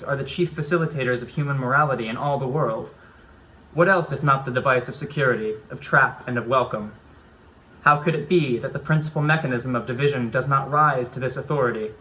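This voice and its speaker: Man in a deliberate surreal reading. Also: medium pitch, poor audio. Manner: even, deliberate, philosophical recitation. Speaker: writer. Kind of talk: reading of surreal prose poetry.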